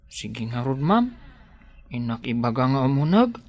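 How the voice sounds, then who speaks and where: A man's voice: medium pitch, big pitch swings, unhurried, darkish tone. A man acting as a dutiful household guard in a radio drama, deferential to his employer and brusque with others. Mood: wary, dutiful.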